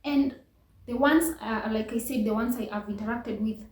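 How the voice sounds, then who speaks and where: A woman's voice: on the high side, normal speed, darkish tone. Earnest woman in an echoey room. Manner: earnest, reflective, many fillers and restarts. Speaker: reaction channel host. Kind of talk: casual reaction commentary.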